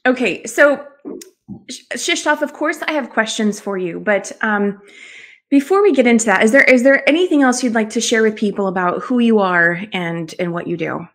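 A woman's voice: fairly high pitch, lively intonation, normal speed. A middle-aged woman hosting an interview show, introducing and questioning her guest. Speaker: talk show host. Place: small room at home, indoors. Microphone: desk microphone on video call.

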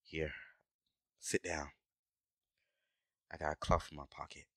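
Very deep-voiced male dragon adventurer, acted by a man. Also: level tone, unhurried, fairly bright tone, no echo, clean studio sound, exaggerated voice. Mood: concerned, protective. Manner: urgent, exasperated, addressing a companion directly. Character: gruff, protective male dragon adventurer companion. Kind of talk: scripted ASMR audio roleplay acting.

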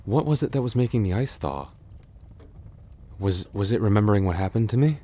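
A man playing a cursed, lovelorn young prince in a roleplay audio drama, halting and formal in his speech. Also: lowish voice, animated, warm tone. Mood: anxious, determined. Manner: halting, breathy sighs and gasps, formal archaic phrasing.

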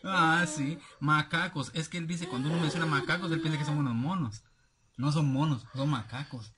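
A man in his thirties with a Guatemalan accent and a husky voice, chatting casually as a vlogger and reading out comments with familiar, rambling asides. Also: on the high side, moderate pace, background noise. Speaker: YouTube vlogger. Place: small cluttered room indoors at home.

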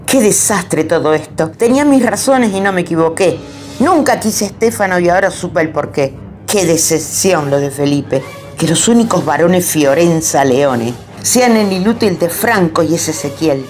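A woman's voice: on the low side, animated, moderate pace, darkish tone, soft background music, lightly acted. A woman voicing a domineering, scornful older aristocratic mother in a radio drama, with a Rioplatense Spanish accent. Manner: scornful, imperious.

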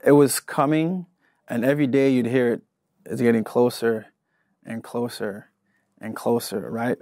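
A man in his thirties from Angola, whose first language is Portuguese, tells his story with lively gestures. He speaks at a middling pitch. The sound is close and dry, recorded in a studio. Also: no echo. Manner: animated storytelling with hand gestures, shifting energy. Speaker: guest artist. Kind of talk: casual interview.

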